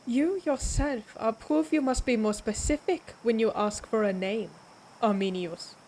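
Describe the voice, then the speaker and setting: A woman playing a proud, menacing vampire warrior in an audio roleplay, speaking deliberately with an imperious, taunting manner. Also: a little high, darkish tone, slight background noise. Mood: wry.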